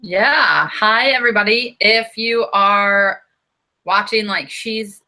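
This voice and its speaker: A woman's voice: medium pitch, slow pace, bright, forceful tone. An American woman from the United States, chatty and self-deprecating, saying "like" often. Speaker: co-host. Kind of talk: casual chat.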